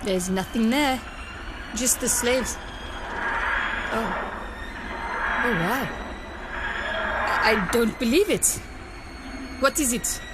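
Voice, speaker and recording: A woman's voice: a little high, animated, very slow, long pauses, heavy background noise. A woman playing a questioning, disbelieving companion to a villain in an audio drama. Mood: puzzled, amazed. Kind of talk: fan audio drama acting. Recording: loud music over the voice.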